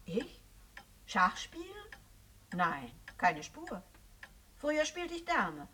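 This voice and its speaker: A woman's voice: medium pitch, big pitch swings, slow pace, pushed and bright, heavily acted. An actress playing a prim, bourgeois mother as a wary customer in a theatrical radio play. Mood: taken aback. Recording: distant, faintly noisy radio recording.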